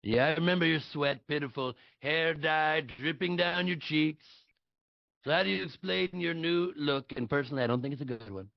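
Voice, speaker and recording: A man acts out a blustery, self-assured politician parody for a comedy table read. He is curt and dismissive, with a creaky, higher male voice. The sound is dry and slightly dull. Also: normal speed.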